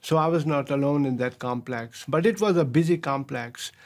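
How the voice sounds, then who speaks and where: A man, a professor telling stories of his past in an interview, pausing often on fillers and chuckling as he goes. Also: medium pitch, a little mellow. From Pakistan. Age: 60s. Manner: chuckles often, halting with many filler sounds. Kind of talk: relaxed storytelling interview.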